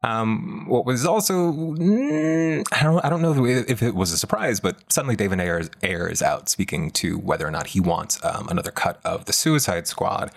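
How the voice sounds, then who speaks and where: Podcast co-host: American man, low register, conversational but emphatic delivery. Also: animated, moderate pace, gravelly, hardly any pauses. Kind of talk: conversational pop culture podcast talk.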